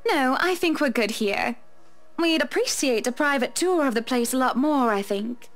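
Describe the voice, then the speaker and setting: A woman's voice: sits high, animated, moderate pace. A woman playing a poised, self-assured wealthy wife in a visual novel, genteel and gracious, over faint music. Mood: confident, businesslike.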